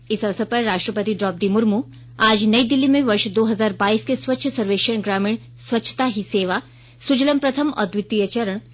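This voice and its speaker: A woman with an Indian accent reading a formal, scripted news bulletin as a radio newsreader. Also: mid-range voice. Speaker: news reader. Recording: dull, band-limited radio broadcast sound.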